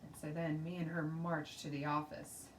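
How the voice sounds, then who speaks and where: A woman teacher reading a children's storybook aloud in an expressive, deliberate way. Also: sits low. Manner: deliberate, animated read-aloud. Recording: roomy, distant mic, faint noise.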